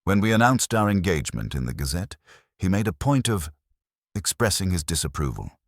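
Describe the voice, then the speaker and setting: Man acting a worried husband, very low voice. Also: very animated, brisk, no echo, clean studio sound. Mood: uneasy. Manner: tight, concerned. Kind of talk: scripted mystery story dialogue.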